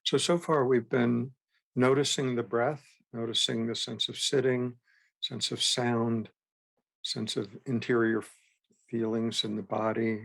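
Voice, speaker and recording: A man guiding a meditation as a teacher, with gentle, unhurried instruction and frequent pauses. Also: medium pitch, little pitch movement, gravelly. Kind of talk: guided meditation.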